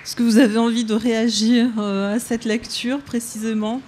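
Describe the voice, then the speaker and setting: A woman moderates a public talk with short, courteous prompts. Her voice is fairly high and stays level in pitch. A faint background noise sits under her. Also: flat delivery, moderate pace. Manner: brief, polite prompting.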